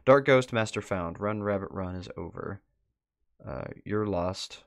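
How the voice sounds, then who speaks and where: A man's voice: lowish voice, measured pace, creaky, no echo, studio quality. A young man hosting a livestream, giving casual live reaction commentary and bantering with his chat. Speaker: livestream host. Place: indoor room at a desk, livestream. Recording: music behind the voice.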